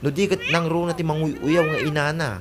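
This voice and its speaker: A woman's voice: low voice, steady tone, fairly quick, close to an everyday voice. A woman playing a gossipy, mocking middle-aged villager in a comedy radio drama, with an Ilocano accent and chiding manner. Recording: clear noise behind voice.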